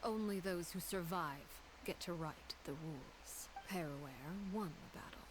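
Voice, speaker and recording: An actress voicing a ruthless, composed female house leader in a video game, speaking in a breathy, near-whispered, coldly formal way. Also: on the low side, noisy background, natural voice. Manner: measured, calm, coldly formal. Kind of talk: game voice acting.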